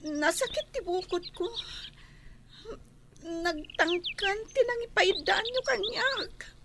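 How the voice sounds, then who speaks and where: A woman's voice: very high voice, takes it slowly, background noise, full character voice. A woman playing a dazed, hurt, frail young woman in a radio soap drama. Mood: dazed, pained.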